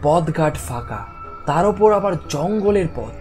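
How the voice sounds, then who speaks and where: A man's voice: a little high, animated, unhurried, darkish tone, soft background music. A man narrating a scripted fairy tale for a cartoon as a storyteller.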